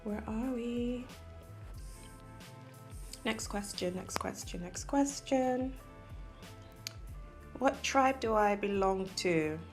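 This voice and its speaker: A woman's voice: a little high, slow pace, pauses often, echoey room, faint music behind. A young woman from Nigeria chatting casually while she eats, with plenty of ums. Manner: chatty, eating between lines, many ums. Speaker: food vlogger. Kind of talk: casual mukbang chat.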